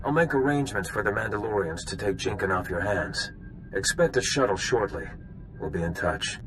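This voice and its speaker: A man voicing a measured, dryly courteous bounty official in a video game. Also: lowish voice, lively intonation, background noise, lightly acted. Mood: calm, businesslike. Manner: measured, dry, formal. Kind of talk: dramatic video game dialogue.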